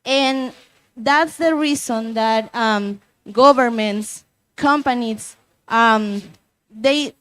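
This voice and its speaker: Young woman, impassioned activist, slightly high voice, rhetorical questions, panel speech. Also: extremely slow, a bit bright, clean tone. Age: young adult. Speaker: youth activist speaker. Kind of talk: panel statement.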